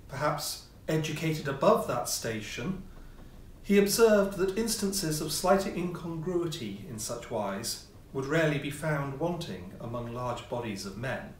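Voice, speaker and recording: A man reading a classic ghost story as scripted narration, with measured, theatrical phrasing and pauses. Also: medium pitch. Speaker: audiobook reader, amateur player. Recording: roomy echo, distant mic, faint noise.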